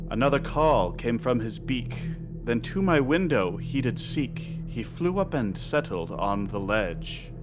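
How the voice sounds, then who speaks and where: A man reciting a rhyming horror poem in a measured, rhythmic storytelling manner. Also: mid-range voice, big pitch swings. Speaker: poet reading own work. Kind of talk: dramatic spoken-word horror poem recitation. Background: music track.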